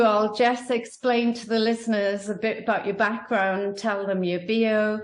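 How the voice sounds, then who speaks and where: A woman hosting a podcast, introducing her guest in a measured way. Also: a little high. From Australia. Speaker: podcast host. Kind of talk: podcast interview. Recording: echoey room.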